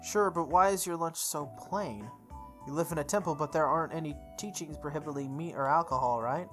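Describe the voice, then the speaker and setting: A man voicing an earnest, casual male high school student in a visual novel. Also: a little fast, a bit bright, soft background music, natural voice. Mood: curious.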